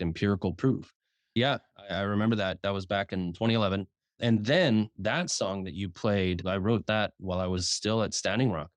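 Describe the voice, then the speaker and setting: Casting: frank male journalist guest, low voice trained upward, casual swearing, dry close sound. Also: dry recording. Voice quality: deliberately raised speaking voice, naturally deep. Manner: frank, swears casually, repeats words when starting sentences. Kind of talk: casual interview chat.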